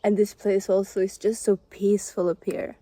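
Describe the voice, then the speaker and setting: A woman's voice: mid-range voice, level tone, moderate pace, warm tone, a little hiss or hum. A woman narrating a travel vlog in a gushing, dreamy way. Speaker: vlogger.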